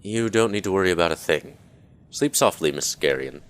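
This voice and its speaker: A man playing a grave, courteous investigator in a radio play, with a measured, brooding way of speaking over music. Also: sits low, lively intonation. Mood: apologetic. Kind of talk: radio play acting with journal narration. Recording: music behind the voice.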